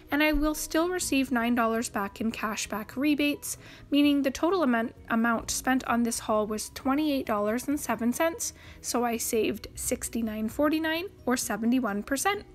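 A woman from Canada narrating a grocery haul as a voice-over, listing prices and savings in a brisk, upbeat way. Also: fairly high pitch. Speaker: couponing channel host. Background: faint background music.

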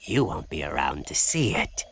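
Wildly swooping, menacing parrot villain. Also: male voice, extremely low pitch, sing-song, moderate pace, creaky, heavily acted. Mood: smug threat. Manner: theatrical, mocking, swinging between threats and sneers. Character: menacing mutant male parrot, bossy evil twin villain. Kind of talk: video game cartoon villain acting. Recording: loud music behind.

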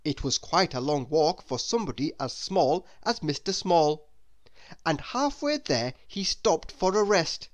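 A man reading a children's story aloud as narrator, in an expressive, deliberate way. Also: fairly high pitch. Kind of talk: scripted narration for young children.

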